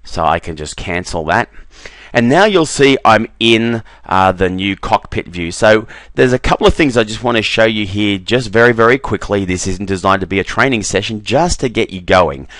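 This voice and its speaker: Low, dry-voiced man demoing software. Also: normal speed, no room sound. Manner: relaxed, repeats "very, very" and fills with "uh". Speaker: software demonstrator. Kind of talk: screen-recorded software walkthrough. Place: voice-over on a screen recording.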